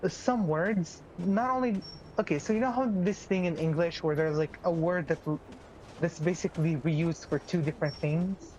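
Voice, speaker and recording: Giggly, halting woman on a muffled chat mic. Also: on the low side, darkish tone, slight background noise. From Israel. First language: Arabic. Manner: halting, restarts words, giggly. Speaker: streamer's partner and co-host. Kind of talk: casual chat during a game stream.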